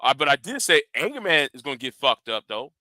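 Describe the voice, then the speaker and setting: A man's voice: mid-range voice, sing-song, brisk, bright, forceful tone, no room sound. A man ranting in a commentary vlog. He talks confrontationally and swears a lot, repeats and restarts his words, and challenges his audience directly. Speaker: YouTube commentator.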